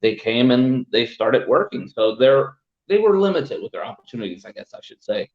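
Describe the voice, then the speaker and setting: A young man from El Salvador talking earnestly in a casual podcast chat, often speeding up in quick bursts. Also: mid-range voice, big pitch swings, echoey room, highs cut off.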